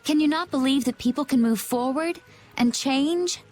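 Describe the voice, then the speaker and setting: Composed young warrior woman for a game, fairly high voice, calmly teasing, female actor. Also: normal speed, faint music behind, lightly acted. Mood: resolute. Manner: calm, teasing. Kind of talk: English video game voice acting.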